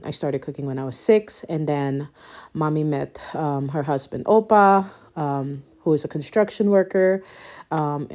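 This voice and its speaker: Guyanese-accented woman, low mellow voice. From Suriname, raised Guyanese. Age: 30s. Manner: rambling, many ums, laughs between thoughts. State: out of breath. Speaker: home renovation vlogger. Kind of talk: casual chat to camera.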